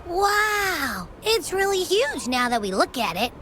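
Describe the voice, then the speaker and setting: A woman voicing an excitable, curious, childlike small companion in a video game. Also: a little high, takes it slowly, a little hiss or hum, heavily acted. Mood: amazed.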